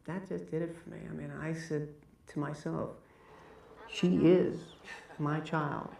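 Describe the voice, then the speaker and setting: A man, a doctor, talking casually and haltingly in an interview, with frequent pauses. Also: a little high, level tone, creaky, darkish tone, roomy sound, recorded from a distance. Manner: halting, with fillers and restarts.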